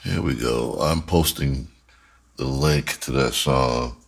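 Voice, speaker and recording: A man talking casually as a vlog host and musician, telling stories in a halting way with pauses to think. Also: extremely low pitch, creaky. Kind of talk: casual storytelling to camera.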